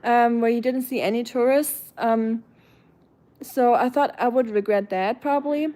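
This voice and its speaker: German lady streamer w/ german accent, kinda high voice, chatting w/ her viewers all warm n chatty, lots of ums. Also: fairly even intonation, measured pace. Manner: chatty and warm toward viewers, with frequent fillers and soft laughs. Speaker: livestream host. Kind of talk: casual chat, reading viewer comments aloud.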